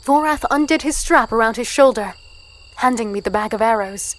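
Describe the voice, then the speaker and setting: A woman's voice: a little high, normal speed, natural voice. A woman playing a plucky, spirited fighter, telling her story in earnest first person in a fantasy audio drama. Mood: tense storytelling. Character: plucky, spirited small female fighter and archer.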